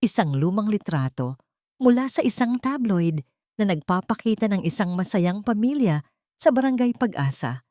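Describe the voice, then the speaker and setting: A woman narrating a scripted Tagalog romance story in a measured, gently emotive reading. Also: medium pitch, big pitch swings, dry recording, clean studio sound.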